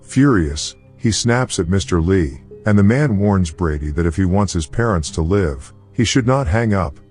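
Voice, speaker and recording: A man's voice: sits low, a little mellow. A man reading scripted narration as the narrator of a movie recap.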